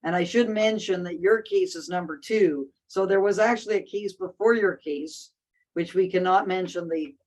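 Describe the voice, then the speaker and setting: A woman's voice: lowish voice, normal speed, a little mellow. A woman hosting a casual discussion, speaking thoughtfully with frequent restarts and fillers. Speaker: host.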